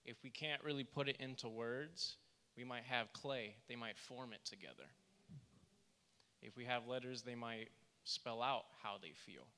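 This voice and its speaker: A soft-spoken man who is a therapist, giving a church workshop presentation and reading quotes aloud with earnest emphasis. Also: medium pitch, measured pace. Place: church hall. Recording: reverberant church hall.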